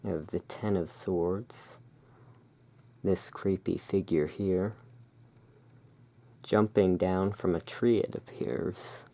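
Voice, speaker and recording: Slow, low, creaky ASMR emporium keeper. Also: fairly even intonation. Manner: unhurried, hushed, pausing between short phrases. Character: owner of a dark gothic curiosity emporium. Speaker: ASMR roleplay host as curiosity emporium owner. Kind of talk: soft-spoken ASMR tarot card showing.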